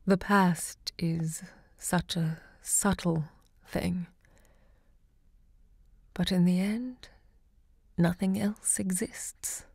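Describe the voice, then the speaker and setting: An actress playing an elderly, unrepentant literary hostess in an audio drama, measured, poetic and intimate. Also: female voice, on the low side, fairly even intonation, creaky, darkish tone, husky, dry recording, clean studio sound, full character voice. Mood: grieving, reflective. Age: older.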